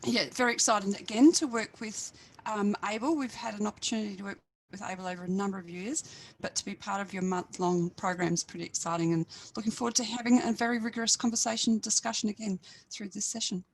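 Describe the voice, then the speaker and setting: An Australian woman with a croaky voice, introducing a panel on a webinar call in a rambling way, with many fillers and restarts. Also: mid-range voice, fairly even intonation, fast talker, low-quality recording. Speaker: panelist from an ePortfolio group. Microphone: video call.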